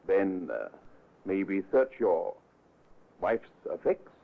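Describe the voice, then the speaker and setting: An actor playing a measured, methodical police inspector in an old radio drama, dry and official with careful pauses. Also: male voice, sits low, big pitch swings, gravelly, exaggerated voice. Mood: sombre, probing. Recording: muffled, lo-fi old radio recording.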